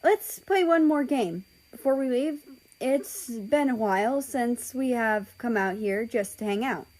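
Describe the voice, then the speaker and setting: A woman's voice: on the high side, lively intonation, a little slow, a little mellow, low-quality recording. A woman voicing a friendly, casual male cat brother who loves games, in a story. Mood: playful.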